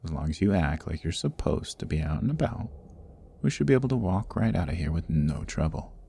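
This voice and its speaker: A man's voice: big pitch swings, brisk, dark tone, slight background noise, full character voice. A man playing a sardonic jailed thief in an ASMR fantasy roleplay, wry and teasing, with whispered asides. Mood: reassuring. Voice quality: very deep, gravelly. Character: roguish, sardonic male thief jailed in a dungeon. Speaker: voice actor.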